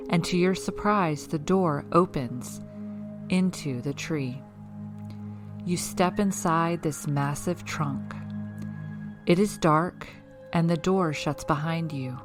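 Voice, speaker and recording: A woman leading a guided meditation in a calm, measured, instructional way, reading scripted narration. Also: deep voice. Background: background music.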